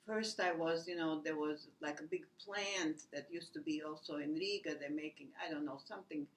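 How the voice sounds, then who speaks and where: A woman, recalling her life in a halting oral history interview, full of restarts and fillers. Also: deep voice, poor audio. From Latvia. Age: 80s. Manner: halting, many restarts and "you know" fillers. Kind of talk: rambling personal recollection.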